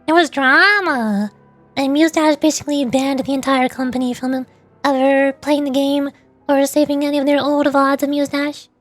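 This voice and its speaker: A woman's voice: high voice, flat delivery, moderate pace, darkish tone. A woman livestreamer chatting casually over a game in a playful, rambling way. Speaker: livestreamer, VTuber host. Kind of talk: casual chat while streaming a game. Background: faint music.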